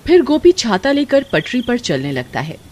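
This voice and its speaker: A woman's voice: fairly high pitch, sing-song, moderate pace, a little mellow, a little hiss or hum. A woman narrator reading a scripted horror story expressively. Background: music.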